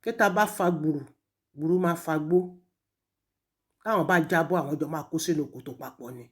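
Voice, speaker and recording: A woman's voice: low-pitched, lively intonation, quick pace. A woman with a Nigerian accent delivering a confrontational monologue, scolding and taunting someone with heavy emphasis. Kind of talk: confrontational monologue addressed to an absent person, mixing two languages.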